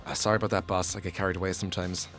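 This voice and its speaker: A man's voice: low voice, level tone, racing, a bit bright, soft background music. An Irish man playing a deferential, eager radio sidekick who reads out listener messages in a comedy radio play. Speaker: radio co-host.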